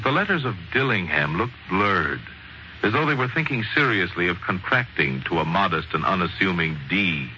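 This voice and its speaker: A man narrating a story in dramatic 1940s radio style, with a theatrical, wry, measured delivery. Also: low-pitched, bright, forceful tone. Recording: muffled, noisy 1940s radio recording.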